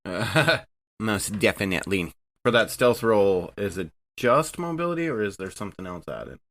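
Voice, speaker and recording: Male tabletop gamer with a deep voice, casual delivery. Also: sing-song, normal speed, a bit bright. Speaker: player in a tabletop role-playing game. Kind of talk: casual game-table chat.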